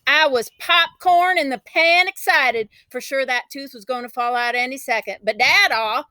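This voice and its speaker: A woman acts out a spirited, boastful country child narrating a storybook. The voice is fairly high and bright, with folksy, dramatic emphasis, and it sounds dry and close. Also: moderate pace, no room sound. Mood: dramatic, excited.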